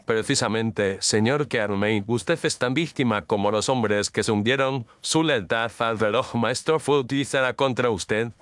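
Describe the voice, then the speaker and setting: A man playing a composed, incisive detective in an audio drama, speaking formally and with measured declamation. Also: mid-range voice, fairly bright tone, smooth, dry recording, close to an everyday voice. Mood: composed, accusing.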